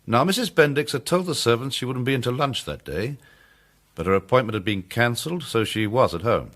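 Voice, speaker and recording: An actor playing a composed English amateur detective in a period drama, recounting a case in a measured, formal, courteous manner. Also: male voice, mid-range voice, animated, a bit bright. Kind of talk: British period drama narration.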